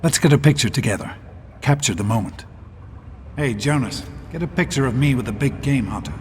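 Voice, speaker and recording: An actor playing a gentle, steady middle-aged father in a video game, speaking in a calm, measured, protective way. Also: mid-range voice, big-room sound, noisy background, close to an everyday voice. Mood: proud.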